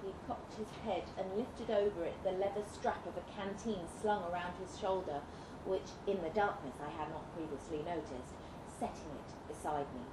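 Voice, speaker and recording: A woman's voice: lowish voice, normal speed. A woman reading a dramatic first-person story aloud as scripted narration. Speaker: story reader. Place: indoor live literary event. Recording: roomy, noisy live venue recording.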